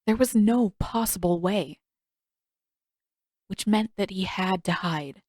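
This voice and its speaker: A woman narrates fiction in the manner of an audiobook, reading from a script. Her voice sits at a middle pitch. The recording is dry, with hardly any room sound around her. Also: slow, darkish tone, dry recording.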